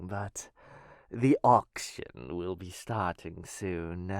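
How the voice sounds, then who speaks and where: Man playing a hesitant, slow-spoken vampire's servant. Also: fairly low pitch, no room sound, clean studio sound, exaggerated voice. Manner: hesitant, formal, apologetic. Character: deferential, nervous male servant of a vampire lord. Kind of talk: audio roleplay acting.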